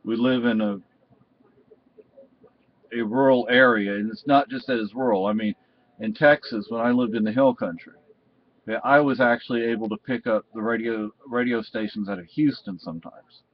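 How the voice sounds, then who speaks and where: A man giving a casual, unscripted product review in a halting, rambling way. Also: medium pitch, steady tone, a little hiss or hum. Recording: dull, muffled lo-fi sound.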